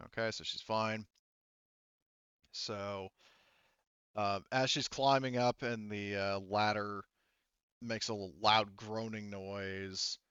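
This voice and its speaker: A man acting as game master in a tabletop roleplaying game, explaining things in a casual, halting way. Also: fairly low pitch, steady tone, a bit bright, smooth, dry recording, dull audio.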